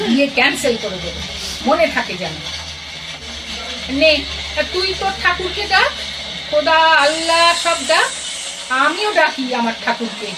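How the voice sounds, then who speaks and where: High-voiced, plain-spoken mother played by a woman. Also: exaggerated voice. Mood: mock scolding. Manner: motherly scolding and coaxing in short colloquial bursts. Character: affectionate, teasing, plain-spoken older mother of a grown son. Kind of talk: radio play dialogue. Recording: distant mic, very noisy audio.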